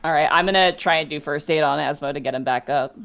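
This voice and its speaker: A woman's voice: sits low, fast, creaky, a bit bright. A woman talking casually as a player in a tabletop game.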